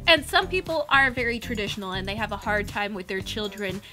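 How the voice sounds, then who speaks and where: A woman co-hosting a casual commentary show, explaining things in an expressive, conversational way. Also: medium pitch, fairly quick, over music. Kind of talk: casual photo commentary chat.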